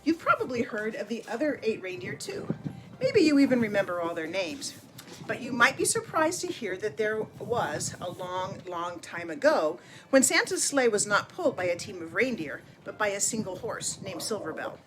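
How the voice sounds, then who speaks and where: A woman narrating a children's picture book in an expressive, deliberate storytelling manner with dramatic pauses. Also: medium pitch, poor audio. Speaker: storybook reader.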